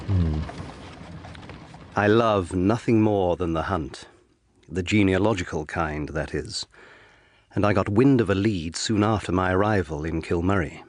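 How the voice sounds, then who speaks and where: An actor playing an earnest, curious young lawyer in a period audio drama, with a measured, literary, musing delivery. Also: male voice, low voice, darkish tone, a little hiss or hum. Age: young adult. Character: earnest, curious young male lawyer recounting his tale.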